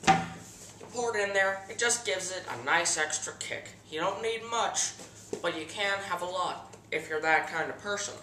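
A teenage boy hosting a mock cooking show in his home kitchen, giving earnest step-by-step instructions for an absurd recipe in a deadpan tone. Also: high-pitched, moderate pace, noisy background. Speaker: host of a home cooking parody. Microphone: camera mic across the kitchen. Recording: echoey kitchen, camera a bit far.

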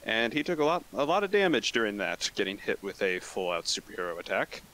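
A man's voice: on the low side, big pitch swings, a little fast, sharp tone, low-quality recording. A man playing a tabletop role-playing game, laying out his character's backstory with a wry, self-mocking tone. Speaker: player.

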